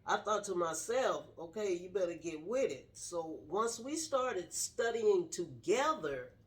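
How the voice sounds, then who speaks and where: A woman's voice: on the low side, expressive, unhurried. A middle-aged woman sharing her personal story casually in an earnest, straightforward way. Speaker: guest telling personal story, wife of the host.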